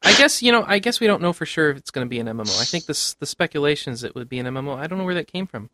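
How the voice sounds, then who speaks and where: A man's voice: a little high, animated, racing, sharp tone, no room sound. A man hosting a casual panel podcast about gaming news, speaking in a conversational way and given to chuckling. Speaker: podcast host.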